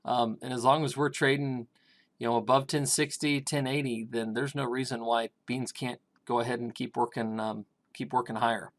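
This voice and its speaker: A middle-aged man talks through grain price charts in a casual, halting way, filling gaps with ums and starting sentences over. His voice is raspy, and his pitch sits at an everyday middle level for a man. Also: steady tone. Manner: hesitant, many ums and restarts. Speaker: grain market consultant.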